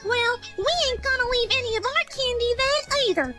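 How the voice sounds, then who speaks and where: Over loud music comes a tiny, extremely high-pitched country filly. She is stubborn, folksy and feisty, a defiant cartoon kid pony. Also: normal speed. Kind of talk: cartoon-style fan fiction dramatization.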